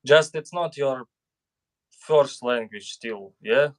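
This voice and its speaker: A man from Russia with a Russian accent, hosting a casual chat in English and often agreeing along. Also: mid-range voice. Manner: slow, chatty, agreeing often. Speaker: host.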